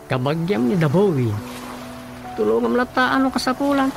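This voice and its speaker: A man's voice: very high voice, sing-song, moderate pace, creaky, exaggerated voice. An actor with an Ilocano accent playing a plain-spoken, helpful Filipino family man in a comic radio drama. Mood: matter-of-fact. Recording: radio drama with music underneath.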